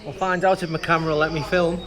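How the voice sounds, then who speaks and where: A man's voice: a little high, little pitch movement, fast talker, crowd noise. A man vlogging with casual walk-and-talk commentary, rambling and musing aloud as he goes. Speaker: vlogger presenting a theme park walk. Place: outdoors in a theme park. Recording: music behind, outdoor vlog. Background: music.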